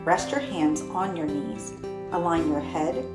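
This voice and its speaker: A woman reading guided yoga instructions for children in measured short phrases with deliberate pauses. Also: low-pitched. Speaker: storytime host and yoga instructor reading aloud. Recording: roomy echo with plucked guitar music behind.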